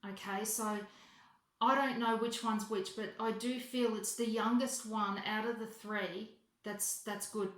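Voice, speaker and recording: A woman's voice: fairly high pitch, steady tone, a bit bright. Woman giving a psychic reading, echoey room. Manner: halting, self-correcting, repeats phrases for emphasis. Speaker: psychic reader. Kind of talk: unscripted psychic reading left as a voicemail. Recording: roomy, echoing voice recording.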